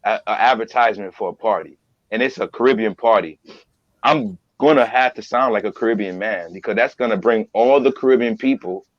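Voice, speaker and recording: A man's voice: lowish voice, fairly quick, no echo. Man chatting casually, dull dry recording. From Trinidadian family. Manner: repeats words and phrases for emphasis. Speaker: guest, voice-over performer and business owner. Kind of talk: casual chat. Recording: dull lo-fi audio.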